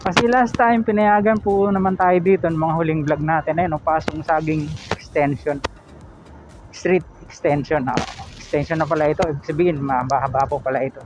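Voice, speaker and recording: A man's voice: fairly high pitch, steady tone, brisk, music in the background, low-quality recording. A man with a Filipino accent vlogging outdoors while traveling a road, talking chattily and politely, with many fillers and tag questions. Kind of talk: casual road vlog narration.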